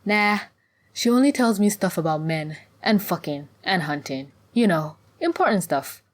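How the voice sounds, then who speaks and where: Woman acting brash young huntress, raised pitch. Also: sing-song, normal speed. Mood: cheeky, puzzled. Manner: blunt, cheeky, casual.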